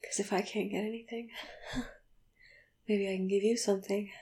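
A woman speaking a slow, intimate audio roleplay monologue, often in a breathy whisper with sighs and soft laughs between phrases. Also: mid-range voice, little pitch movement.

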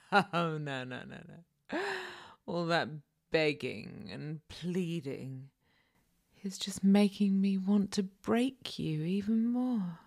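A woman voicing a possessive, sadistic dominant woman in an audio roleplay, whispering breathily with sighs and low laughs. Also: takes it slowly, darkish tone. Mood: possessive, menacing.

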